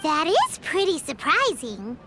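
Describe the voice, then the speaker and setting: A woman voicing a tiny, cheeky, excitable fairy companion with a squeaky childlike voice in an anime video game. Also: measured pace, noisy background, exaggerated voice. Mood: curious, cheeky. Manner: refers to self in third person, whiny, exclamatory. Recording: loud music behind the voice.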